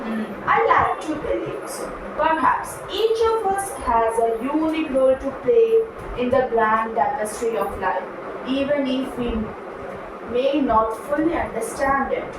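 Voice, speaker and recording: A woman acting as interviewer in a scripted puppet show, with a deliberate, recited delivery. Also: high-pitched. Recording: very echoey room, distant mic, noisy.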